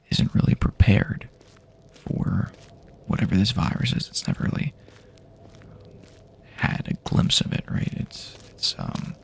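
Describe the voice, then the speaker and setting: A man speaks in a low, breathy near-whisper that crackles heavily with vocal fry. His pitch wanders freely as he improvises an ASMR roleplay, rambling softly and hesitantly with many pauses. A faint ambient noise sits behind the voice. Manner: soft-spoken, hesitant, rambling with frequent pauses. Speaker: ASMR performer playing a companion on a post-apocalyptic journey.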